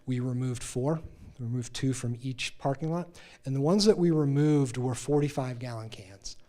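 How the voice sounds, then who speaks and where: A middle-aged man with a low voice answers questions from residents at a public meeting. He speaks into a handheld mic and explains things in a low-key way. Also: moderate pace, mellow. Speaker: city open space official. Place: community meeting room.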